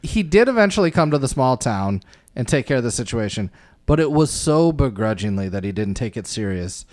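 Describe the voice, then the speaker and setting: A man's voice: mid-range voice, sing-song, fairly quick, a little mellow, no room sound, studio quality. A man in a casual podcast movie discussion, blunt and profane, joking as he talks. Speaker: podcast co-host.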